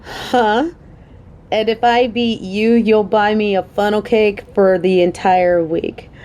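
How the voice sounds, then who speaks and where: Woman acting a playful, motherly coworker with mellow voice. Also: medium pitch, fairly even intonation, takes it slowly, close to an everyday voice. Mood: flirty teasing.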